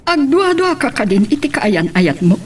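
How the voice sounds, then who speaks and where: An actress plays a stern mother admonishing her grown son. Her voice is high and very animated, Ilocano-accented, in radio drama style. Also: normal speed, a little hiss or hum. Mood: reproving. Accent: Ilocano, northern Philippines.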